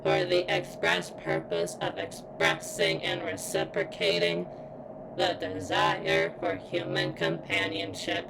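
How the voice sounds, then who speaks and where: A woman voicing a guarded robot girl who yearns for sentience in an ASMR roleplay, speaking in stilted, formal, halting phrases with a strained, pained sound. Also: very deep voice, gravelly, background noise, exaggerated voice. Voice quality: strained, as if in constant pain.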